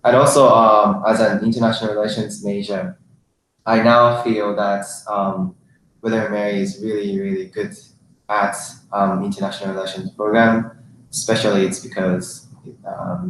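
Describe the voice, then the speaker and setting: A young man from Japan, a senior student speaking carefully with pauses on a panel. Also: lowish voice, recorded from a distance. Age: young adult. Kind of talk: casual panel chat. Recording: very echoey room with faint hiss.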